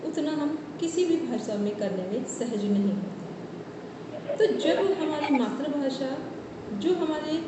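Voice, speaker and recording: A woman, a college teacher invited as guest speaker, giving a formal, flowing speech at an online event. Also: sits high. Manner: formal, flowing, lists many words in a row. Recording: echoey room from a distance, noisy.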